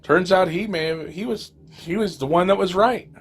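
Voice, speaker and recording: A man playing a lonely, weary, haunted apocalypse survivor, telling his story in an amateur audio drama with halting, dramatic pauses. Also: high voice, slightly rough, faint music behind. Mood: wistful, resigned. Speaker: amateur voice actor. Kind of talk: scripted first-person audio drama narration.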